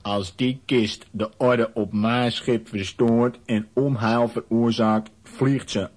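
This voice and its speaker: A man playing a stern, commanding ship's captain in a children's audio drama, gruffly barking out orders. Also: mid-range voice, steady tone, slow pace, a little mellow. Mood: stern, annoyed.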